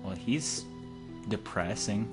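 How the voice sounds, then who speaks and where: A man with a slightly low voice reads game text in a casual, amateur way. He tosses in joking remarks. Faint music sits behind him. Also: takes it slowly. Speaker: amateur let's-player. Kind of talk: casual read-aloud with joking interjections.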